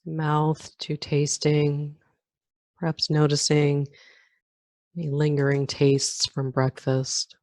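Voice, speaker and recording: Female meditation teacher, deep register, calm and slow with long pauses, dry sound. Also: dry recording. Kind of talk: guided meditation.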